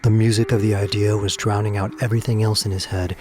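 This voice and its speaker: Male narrator, low pitch, scripted dramatic storytelling, prominent music bed. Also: level tone, fast talker, darkish tone.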